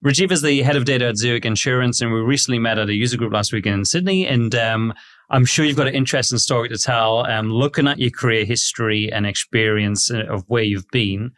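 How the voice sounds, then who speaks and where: A man's voice: medium pitch, fast talker, fairly bright tone. A man hosting a podcast interview in a friendly, rambling way with lots of fillers. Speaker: podcast host. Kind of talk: casual interview chat.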